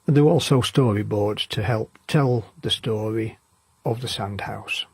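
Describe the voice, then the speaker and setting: Husky male narrator, dry recording. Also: fairly low pitch, measured pace, no room sound. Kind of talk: scripted informational narration.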